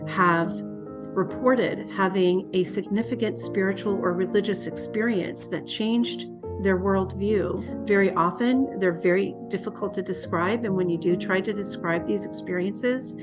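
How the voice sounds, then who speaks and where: A woman giving measured, articulate explanatory commentary in an interview. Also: mid-range voice, over music.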